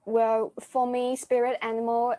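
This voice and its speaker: A woman's voice: a little high, monotone, measured pace, dark tone, no room sound. A young woman speaks in a casual podcast chat, weighing her words and laughing easily between thoughts. The recording is dry and close, with no room sound around her. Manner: thoughtful, searching for words, giggly. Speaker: theatre group member.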